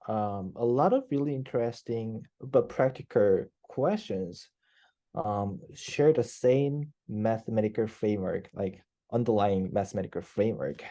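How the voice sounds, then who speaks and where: A man's voice: fairly low pitch, warm tone. A young man in his twenties from South Korea with a Korean accent, answering interview questions hesitantly over a headset video call, with many fillers. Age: 20s. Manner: hesitant, many fillers and restarts, laughs easily. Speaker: PhD student guest. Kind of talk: casual interview chat. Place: office, indoors.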